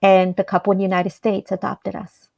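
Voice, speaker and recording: A woman originally from El Salvador speaks as a radio interview guest. Her voice sits at a middle pitch, and she talks in an earnest, measured way, pausing and restarting as she works through her answers. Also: completely flat delivery, vocal fry, mellow. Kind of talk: personal storytelling in an interview.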